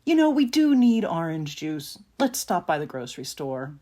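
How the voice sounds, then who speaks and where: No-nonsense mother, woman actor, slightly low voice, family dialogue in an audio drama. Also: big pitch swings, normal speed, mellow. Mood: practical. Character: practical, no-nonsense mother out with her children.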